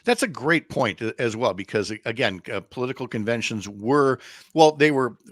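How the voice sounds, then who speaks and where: A man's voice: medium pitch, expressive, moderate pace, no echo, clean studio sound. A middle-aged man from the American Midwest with an American accent, hosting a conversational radio interview and often reworking his sentences as he goes. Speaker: radio show host, interviewer.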